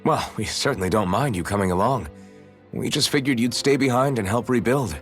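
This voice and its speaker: A man's voice: medium pitch, fairly quick, faint music behind, natural voice. An actor playing a sincere, kindly young male hero in a video game cutscene, earnest and inquisitive. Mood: friendly, welcoming.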